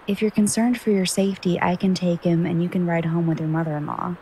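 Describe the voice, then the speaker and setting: A woman playing a brisk, self-assured, take-charge character in an audio drama. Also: on the low side, flat delivery, a crowd in the background, natural voice. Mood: brisk, composed.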